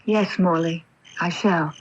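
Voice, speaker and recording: A woman's voice: fairly low pitch, fairly even intonation, slow pace, heavy background noise. An actress playing a romantic, tender-hearted woman in a radio drama, with a dreamy and hesitant dramatic delivery. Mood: romantic, rapt. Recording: dull lo-fi off-air radio tape.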